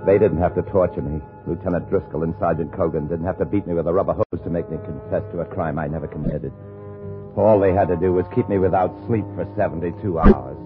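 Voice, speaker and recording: An actor playing an exhausted, desperate man framed for murder in a 1950s radio drama, halting and pleading. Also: deep voice, close to an everyday voice. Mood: tense narrating. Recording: muffled old radio broadcast with music.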